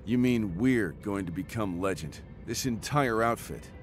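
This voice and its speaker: An actor playing a sincere, steady young mercenary commander in a video game, plain-spoken and earnest. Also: male voice, fairly low pitch, measured pace, background noise. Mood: modest, wry. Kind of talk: video game cutscene acting.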